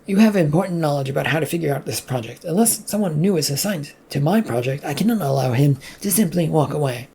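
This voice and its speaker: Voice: medium pitch, expressive, quick pace, creaky, few pauses, mellow, slightly rough, heavily acted. A narrator voicing a worried, urgent male project worker with an insistent manner, in an audio story.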